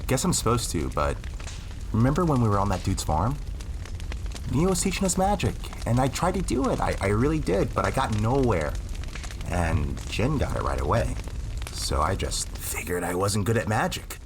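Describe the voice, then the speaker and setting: A man's voice: lowish voice, normal speed, a little hiss or hum, poor audio. A young male actor playing an insecure youth who rambles, swears and frets about whether he is special. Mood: anxious. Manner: anxious, rambling, swearing, animated. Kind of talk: audio drama acting.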